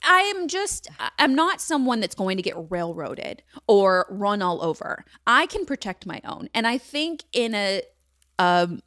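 A young woman hosting a podcast, chatting casually in a cozy living room. She is bubbly and animated and laughs often as she tells stories. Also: medium pitch, measured pace, no echo, studio quality. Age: young adult. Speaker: podcast host. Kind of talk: casual chat. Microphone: boom-mounted studio mic.

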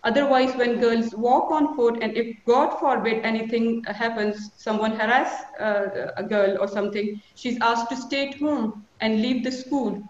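A woman in her forties with a Pakistani accent, giving a measured conference talk full of figures from a small office, with fillers between numbers. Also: a little high, fairly even intonation. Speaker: conference panelist. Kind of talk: formal presentation with statistics. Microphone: video call webcam. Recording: echoey lo-fi video call.

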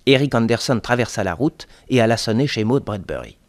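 A man narrating a French radio detective drama in measured storytelling. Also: medium pitch, expressive, dry recording, close to an everyday voice.

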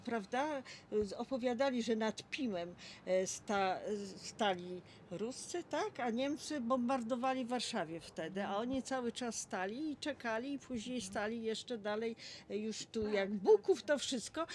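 A woman's voice: on the high side, expressive, measured pace, slight background noise. A woman in her sixties from Poland, casually sharing memories and often seeking agreement with little question tags. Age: 60s. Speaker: local resident sharing memories. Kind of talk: casual reminiscing.

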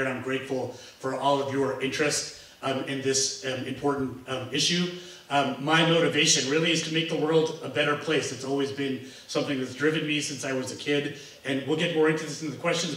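A man's voice: medium pitch, a bit bright. A man on a panel in a library, introducing his public service work in an earnest, formal way with many ums. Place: large library room. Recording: roomy hall from far off.

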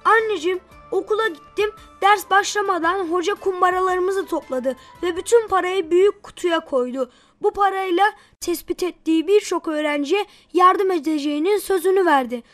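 A woman's voice: very high voice, normal speed, hardly any pauses, a bit bright, faint music behind. A woman voicing an eager, pious little boy in a children's cartoon, earnest and bubbly. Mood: proud, eager.

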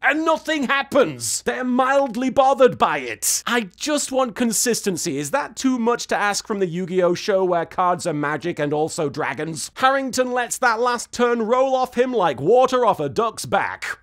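A man in his thirties hosting a comedic recap show, with a sarcastic, exasperated and expressive delivery. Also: high-pitched, normal speed. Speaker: host of a reaction recap show. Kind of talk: comedic scripted recap commentary to camera.

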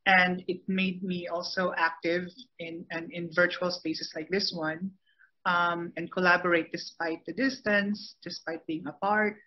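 A woman poet speaking earnestly on a video call panel, halting now and then, with soft laughs. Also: lowish voice, flat delivery. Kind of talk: casual panel talk. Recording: muffled video call audio.

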